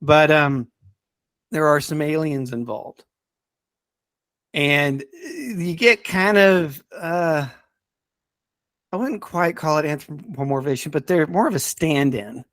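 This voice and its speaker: Higher-voiced man talking haltingly on a podcast. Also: fairly bright tone, no echo, studio quality. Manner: hesitant, halting, often repeating words. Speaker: podcast speaker reviewing radio dramas. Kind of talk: casual podcast discussion.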